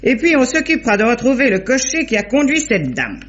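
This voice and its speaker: A shrewd woman sleuth, talks quickly and practically. Her questions are matter-of-fact and quick-witted. She is heard on a dull old radio drama recording. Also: on the high side, sing-song, a bit bright. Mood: practical, brisk. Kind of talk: 1950s French radio mystery acting.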